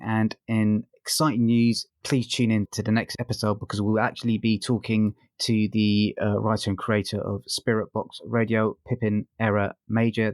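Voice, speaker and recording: A podcast co-host chatting casually and informally, with a somewhat hesitant, halting delivery. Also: medium pitch, a little mellow, no room sound. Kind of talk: casual chat, audio drama review.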